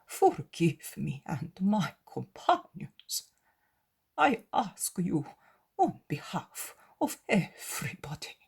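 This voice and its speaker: A woman's voice: lowish voice, big pitch swings, slow, creaky, breathy edge, full character voice. A woman reading the lines of a devoted male disciple, pleading in a whisper.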